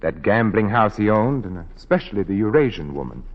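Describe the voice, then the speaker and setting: An actor playing a composed, reassuring gentleman lawyer with an assured, clipped manner in a 1940s radio drama. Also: fairly low pitch, sing-song, brisk. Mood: grave, businesslike. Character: composed, reassuring male lawyer, loyal family friend. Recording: muffled 1940s radio recording.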